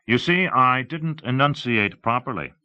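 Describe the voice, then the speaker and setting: A man's voice: mid-range voice, lively intonation, measured pace, bright, forceful tone, smooth, voice pushed up. A man narrating an audiobook, voicing an eager, showy salesman with emphatic, carefully enunciated speech in slightly muffled audio. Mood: confident, showy. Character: eager, showy salesman demonstrating voice software to an audience. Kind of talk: audiobook character dialogue.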